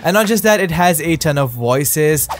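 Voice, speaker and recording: A man's voice: a little high, animated, moderate pace. A man hosting a scripted tutorial and review as a YouTube narrator. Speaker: YouTube host. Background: faint music.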